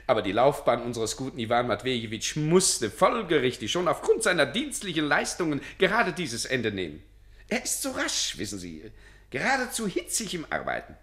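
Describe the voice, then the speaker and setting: A man's voice: medium pitch, big pitch swings, normal speed, fairly bright tone. An actor in a German radio play playing a self-important, evasive senior civil servant who dithers officiously and draws out his phrases. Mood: smug musing.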